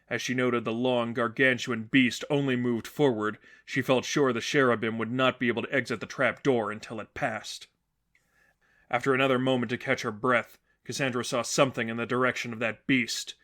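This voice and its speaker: A man's voice: medium pitch, fairly bright tone. A man reading scripted fiction aloud as a narrator, in a dramatic storytelling style.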